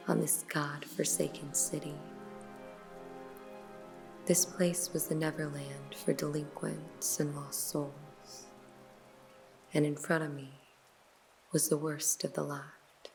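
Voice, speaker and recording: A woman playing a weary hard-boiled detective, narrating a noir story in a slow, brooding half-whisper over faint music. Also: sits low, creaky, exaggerated voice.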